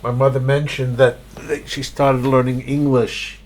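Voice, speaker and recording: A middle-aged man at mid pitch chats about his family. He talks casually, tripping over his first words before finding his point. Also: little pitch movement, unhurried. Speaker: interviewee's son. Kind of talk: casual conversation.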